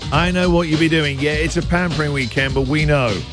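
A man's voice: a little high, music in the background. A man hosting a breakfast radio show, teasing and joking with callers in a playful way. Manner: playful, teasing, quick to laugh. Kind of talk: lively radio banter with callers. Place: radio studio.

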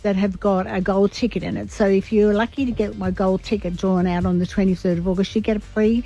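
A middle-aged Australian woman with a croaky voice, giving emphatic advice to callers as a psychic on live radio. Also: mid-range voice, steady tone, a little fast, mellow, dull audio.

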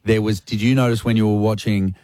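A joking Australian man co-hosting a radio show, his voice sitting low and easy as he teases callers. He is close on a dry studio microphone, with no room sound around him. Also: steady tone, brisk, mellow, dry recording. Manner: jokey, teasing, laughs often. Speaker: radio co-host. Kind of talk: casual radio banter.

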